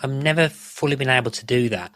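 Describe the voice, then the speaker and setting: A man's voice: medium pitch, brisk, a bit bright. A man chatting casually as a podcast guest, explaining things in a rambling way. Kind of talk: casual chat.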